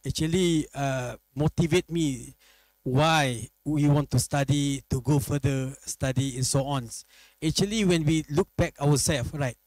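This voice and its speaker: A middle-aged man from Malaysia, a professor answering interview questions, speaking haltingly and restarting his phrases. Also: on the high side, a little mellow, dry recording, poor audio. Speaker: guest professor. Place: studio set.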